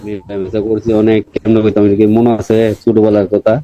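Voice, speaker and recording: A man's voice: lowish voice, monotone, fast, mellow, muffled recording, a little hiss or hum. An older man chatting casually as a caller on a video call. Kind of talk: casual chat.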